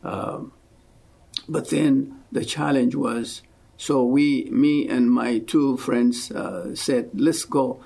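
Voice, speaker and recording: Very gravelly Ethiopian older man. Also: medium pitch, slow. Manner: earnest and fervent, often dropping to a soft hush. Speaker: guest, missionary. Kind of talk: personal faith testimony.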